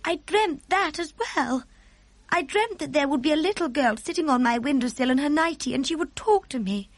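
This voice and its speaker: A woman's voice: sits high, lively intonation, normal speed, no room sound, voice pushed up. A woman voicing a poised royal woman in a storybook, with a regal, clipped and commanding manner. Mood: astonished. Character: the Queen, poised older royal woman, sharp and imperious.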